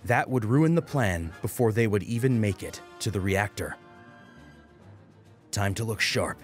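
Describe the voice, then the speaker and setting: A man's voice: lowish voice, measured pace. A man narrating a scripted story as a dramatic audiobook reader. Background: music.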